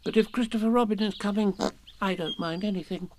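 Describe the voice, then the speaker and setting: A man's voice: high-pitched, a little fast, a little mellow, slight background noise, voice pushed up, lightly acted. A man voicing a small, timid, anxious piglet who speaks nervously and earnestly in a children's storybook reading.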